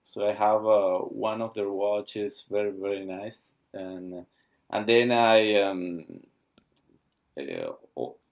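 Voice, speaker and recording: A man, speaking haltingly as he searches for words while being interviewed about founding his watch brand. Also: fairly low pitch, lively intonation, creaky, darkish tone, dull audio. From Argentina. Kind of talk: casual interview.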